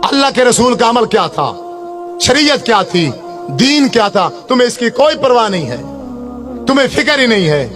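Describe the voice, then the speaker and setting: High-pitched middle-aged man preaching. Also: sing-song, normal speed, fairly bright tone, soft background music. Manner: exhorting, repetitive, rhetorical. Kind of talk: impassioned sermon with recited prayers.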